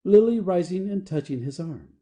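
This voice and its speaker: A man reading the stage directions of an audio play as expressive scripted narration. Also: sits high, moderate pace.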